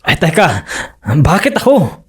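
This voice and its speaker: Fisherman husband, radio drama, high-pitched male voice, stubborn, defensive. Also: wildly swooping pitch, a little slow. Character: proud, jealous fisherman husband and father. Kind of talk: broadcast radio drama acting.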